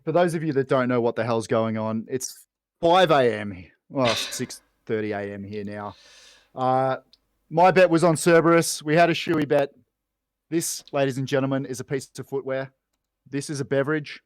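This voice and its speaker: A man's voice: mid-range voice, moderate pace, clean tone, dry recording, studio quality. An Australian man chatting casually as a guest esports co-commentator, with a matey, jokey, informal way of talking. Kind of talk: casual post-match esports interview chat.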